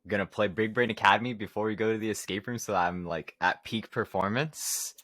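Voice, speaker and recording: A man's voice: on the low side, steady tone, fairly quick, a bit bright, dry recording. A man co-hosting a podcast in casual chat, making dry, offhand remarks. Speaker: podcast co-host.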